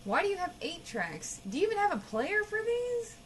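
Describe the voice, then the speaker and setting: An actress playing a blunt, teasing, bossy guest co-host who swears freely in a comedic audio drama. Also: female voice, extremely high pitch, big pitch swings, moderate pace, a little hiss or hum. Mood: insistent.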